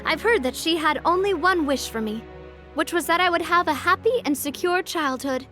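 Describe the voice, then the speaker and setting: A woman's voice: very high-pitched, expressive, moderate pace, exaggerated voice. A woman voicing a cheerful, confident young noblewoman who laughs easily and turns wistful when reflecting, in a video game. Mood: reflective. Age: young adult. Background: soft game music.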